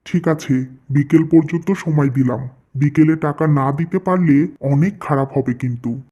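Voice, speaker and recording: A man's voice: fairly high pitch, normal speed, mellow, close to an everyday voice. A man playing stern male figures of a village family cartoon, firm and melodramatic, in dull lo-fi audio. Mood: annoyed, stern. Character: stern husband and demanding merchant, cartoon men.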